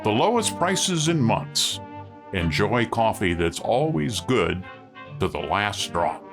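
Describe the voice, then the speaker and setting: A man's voice: on the low side, big pitch swings, slow. A man doing scripted announcing and narration for an old-time radio show. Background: music.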